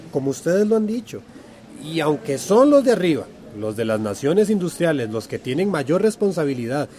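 Radio drama: animated, higher-voiced male community teacher, didactic and encouraging, Central American accent, soft-edged tone. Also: normal speed. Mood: affirming, explaining. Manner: encouraging, affirming, didactic, questioning. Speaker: workshop facilitator. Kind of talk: radio drama acting as a lively classroom lesson.

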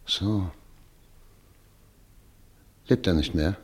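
Albrecht, a calm low-voiced doctor. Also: male voice, slightly rough, full character voice. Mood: measured. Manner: calm, measured, kindly. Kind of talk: radio play acting.

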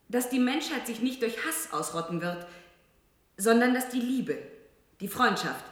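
Grave female reporter, 1970s radio play, slightly high voice, insistent rhetorical delivery, heavy room echo. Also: fairly bright tone. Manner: solemn, deliberate, rhetorical. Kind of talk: 1970s German radio drama acting. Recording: very echoey room.

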